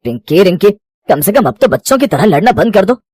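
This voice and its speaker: A woman's voice: mid-range voice, big pitch swings, fairly quick, a little mellow, slight background noise, lightly acted. A woman voicing a worried, exasperated elder brother in a family moral story. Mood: angry scolding. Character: exasperated young elder brother, worried about his sisters. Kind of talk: Hindi moral story voice acting.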